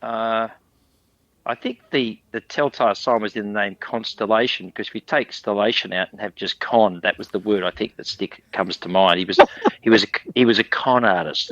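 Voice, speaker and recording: A man's voice: on the low side, a bit bright, no echo, dull audio. An older man chatting as an interview guest, rambling through memories with jokey asides. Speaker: interview guest, industry veteran. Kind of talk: casual chat, reminiscing.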